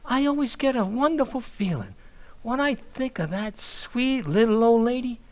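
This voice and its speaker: A man's voice: extremely high pitch, animated, moderate pace, a little mellow. A man playing a middle-aged, blustering working-class family man in old-time radio comedy style, with folksy ungrammatical speech and comic stammers. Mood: gushing.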